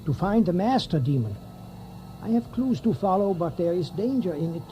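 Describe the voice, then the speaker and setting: A man's voice: high voice, expressive, normal speed, warm tone, soft background music. A man playing a solemn, commanding vampire hunter in a radio drama, with a grave, emphatic, theatrical delivery.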